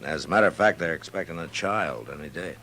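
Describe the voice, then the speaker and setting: An American man playing a weary, fair-minded Old West marshal in a 1950s radio western, speaking in an unhurried, laconic way. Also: deep voice, bright, forceful tone. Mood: calm, reasoning.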